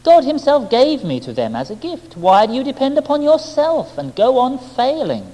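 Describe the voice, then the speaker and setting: A man voicing a serene, tender woman who personifies self-control, coaxing gently and earnestly. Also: very high voice, huge pitch swings, moderate pace, pitched above the performer's own voice, exaggerated voice. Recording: long hall-like reverb.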